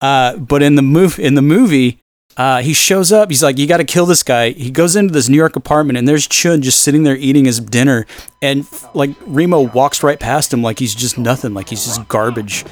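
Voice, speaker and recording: A man's voice: mid-range voice, normal speed, dry recording. A man co-hosting a film podcast in a casual, rambling, exasperated way, swearing freely. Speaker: podcast host.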